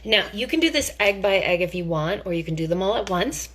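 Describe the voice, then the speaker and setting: A woman's voice: medium pitch, expressive, brisk, very noisy. A woman giving a casual cooking demonstration in a chatty, theatrical, playfully enthusiastic way.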